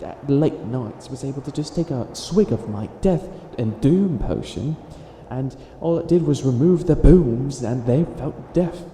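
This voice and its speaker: A man's voice: medium pitch, warm tone, close to an everyday voice. A man playing a theatrical, flustered would-be evil witch in a comedic ASMR roleplay, stammering and stretching words for dramatic emphasis. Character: flustered self-styled evil witch, hopelessly helpful, theatrical. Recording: echoey room with audible background noise.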